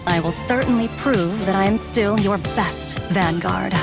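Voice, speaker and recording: Casting: female cyborg centaur warrior for a video game. Mid-pitched, earnest, composed, loyal, gently teasing. Sits under very loud music. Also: unhurried, gravelly. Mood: playful, confident. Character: devoted, steady female cyborg centaur warrior, playfully eager. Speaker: voice actor in a video game dub. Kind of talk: scripted game character voicelines.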